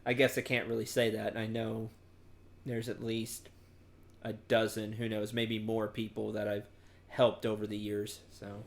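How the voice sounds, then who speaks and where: A man giving casual live commentary as a video game streamer, halting, rambling and amused. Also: on the low side, monotone, creaky, a little hiss or hum.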